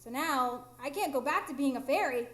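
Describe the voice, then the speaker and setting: A woman's voice: high voice, normal speed, fairly bright tone. A woman playing a heartbroken, proud fairy living as a human, in a plaintive, emphatic live radio drama performance. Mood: sad, proud. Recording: roomy live stage performance.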